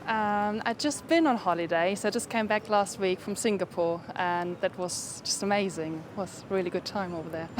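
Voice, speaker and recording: A woman's voice: mid-range voice, normal speed, few pauses. Enthusiastic woman chatting on a street. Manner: enthusiastic, talks of places as amazing. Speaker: passer-by interviewee. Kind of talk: casual chat. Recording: street recording with faint noise.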